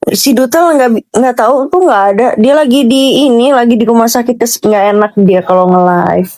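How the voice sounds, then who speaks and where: A woman hosting a live-stream gossip chat, quick and teasing in casual slang. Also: on the high side, darkish tone.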